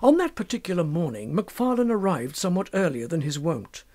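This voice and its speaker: A man narrating a literary tale as an audiobook, dramatic, measured and formal in his delivery. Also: high voice, very animated, a little mellow.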